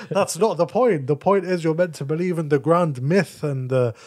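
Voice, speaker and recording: A man talking as a podcast guest, explaining his views in an animated, blunt and casual way. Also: fairly high pitch, normal speed. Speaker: podcast guest, article writer. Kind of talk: casual opinionated discussion.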